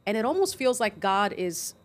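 A woman's voice: mid-range voice, big pitch swings, normal speed. A woman in her thirties from Greece, a pastor guest on a studio podcast, telling her story in a chatty, friendly way. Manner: warm, chatty, laughs often. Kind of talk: personal testimony in conversation. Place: small studio, indoors. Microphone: boom-arm studio mic.

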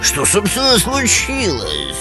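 A woman voicing a forgetful, unhurried old turtle lady in a cartoon, drawling and dim. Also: medium pitch, wildly swooping pitch, over music. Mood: bemused. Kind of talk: animated cartoon voice acting.

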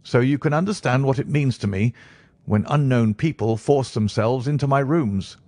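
A man's voice: medium pitch, moderate pace, dark tone, no room sound, voice pushed up, natural voice. A man voicing a jittery, self-important fat man in a reedy, quavering, fussy voice for an audiobook. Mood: nervous, fussy. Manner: nervous, fussy. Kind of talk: audiobook character acting.

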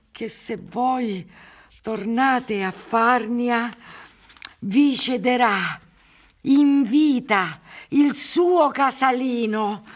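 A woman's voice: a little high, heavily acted. An older woman playing a poor, stubborn old Sicilian mother in a radio drama, pleading plaintively in a Sicilian accent. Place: theatre stage set up for radio recording.

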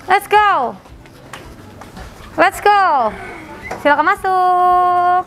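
A woman in her thirties chats playfully while filming a family outing in an outdoor garden. Her voice sits high. Music plays under her. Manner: playful, chatty, coaxing her children. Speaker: vlog host. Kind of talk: casual family vlog. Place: outdoor pet cafe garden.

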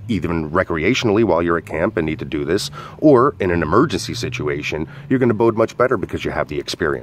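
A man's voice: low-pitched, slight background noise. A man, an outdoor skills instructor, casually explaining a fire starter while demonstrating it in his backyard, with lots of "uh" and "go ahead". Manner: relaxed, filler-heavy explaining.

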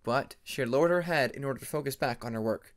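A man's voice: mid-range voice, quick pace. A man reading a scripted fantasy story as an audiobook narrator.

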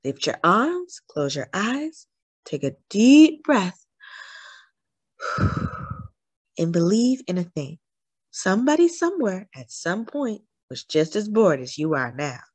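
Wise storybook grandmother, played by a woman: encouraging, slow, emphatic, very expressive, dry sound. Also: mid-range voice, husky, no room sound, heavily acted. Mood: firm, encouraging.

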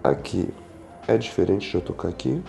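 A man's voice: sits low, normal speed, vocal fry, warm tone. A young Brazilian man working as a chiropractor, giving a patient reassuring instructions and explaining as he goes. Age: young adult. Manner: reassuring, checking in often. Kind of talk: instructing a patient during treatment, explaining to camera. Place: small indoor treatment room with large windows. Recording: roomy echo, faint music behind.

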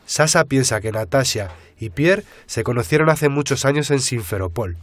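Radio serial: earnest male investigator voiced by an actor, mid-pitched, colloquial. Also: expressive, normal speed, no room sound, natural voice. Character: earnest male investigative journalist, casual and concerned. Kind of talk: naturalistic Spanish radio drama dialogue.

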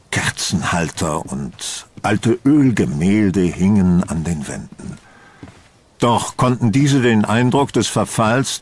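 A man narrating a scripted horror audio drama in a measured, solemn storytelling manner. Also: fairly low pitch, gravelly, breathy edge.

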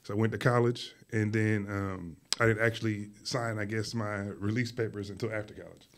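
A man raised in Philadelphia, whose first language was Arabic, talking casually as a podcast guest in a school studio, telling his story in a relaxed way with many fillers. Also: fairly low pitch, a little fast. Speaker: guest, artist and art teacher.

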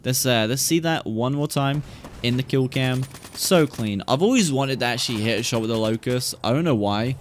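A young man in his twenties giving relaxed, rambling commentary on gameplay clips from a small room with his gaming setup, talking into a studio mic on a boom arm. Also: normal speed, a little hiss or hum. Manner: relaxed, rambling, hype reactions. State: recovering from losing his voice. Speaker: gaming YouTuber. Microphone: studio mic on boom arm, webcam. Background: music under the voice.

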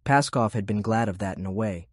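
Low husky man narrating an audiobook. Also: fairly quick, darkish tone, no room sound, studio quality. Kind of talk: scripted story narration.

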